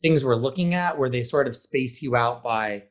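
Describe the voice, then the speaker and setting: American male, mid-pitched voice, podcast guest, conversational, animated explainer, slips into imagined dialogue. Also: moderate pace. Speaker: high school theater program director and guest.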